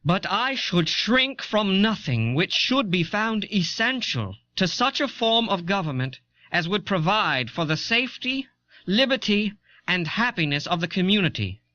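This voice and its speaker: An earnest 18th-century statesman speaking in formal, deliberate oratory. The voice is high and forceful, with sweeping intonation. It comes from a dramatized history recording. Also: sing-song, full character voice. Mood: resolute. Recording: dull old audio cassette.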